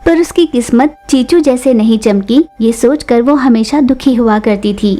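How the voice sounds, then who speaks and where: High-pitched woman storyteller over music. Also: normal speed, mellow. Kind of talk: scripted children's story narration.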